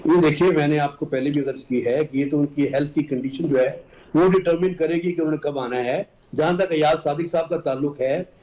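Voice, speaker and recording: A man's voice: on the high side, brisk, dark tone, highs cut off. A man with a Pakistani accent arguing insistently as a political guest, pressing on through interruptions. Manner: insistent, argumentative, talks over interruptions. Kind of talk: political debate.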